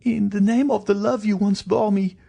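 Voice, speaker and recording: Man putting on a terrified, high-pitched pleading wife. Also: sing-song, measured pace, mellow, slightly rough, heavily acted. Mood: desperate pleading. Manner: high, wailing, stammering pleas. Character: terrified, pleading wife, a guilty gentlewoman poisoner.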